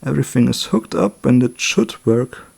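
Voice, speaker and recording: A man giving an unscripted hands-on electronics demonstration as a hobbyist, thinking aloud in short, halting remarks. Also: mid-range voice, lively intonation, gravelly, darkish tone, breathy edge.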